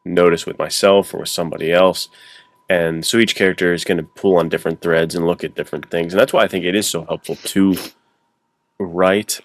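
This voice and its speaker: A man's voice: sits low, fairly even intonation, brisk, no echo. A man chatting casually and reflectively as a podcast guest, informal and earnest, thinking aloud in long runs. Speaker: podcast guest, adventure novelist.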